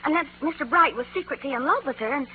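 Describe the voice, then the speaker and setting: A woman's voice: high voice, quick pace, close to an everyday voice. A woman playing a naive, eager young secretary with a girlish manner in a 1940s radio drama, tinny and noisy. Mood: naive, sweet.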